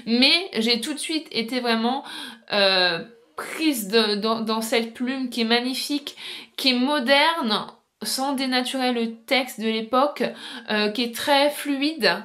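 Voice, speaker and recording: A woman's voice: fairly high pitch, slow pace, fairly bright tone. A woman presenting an informal video essay as a book vlogger, chatty and explanatory, speaking straight to her viewers.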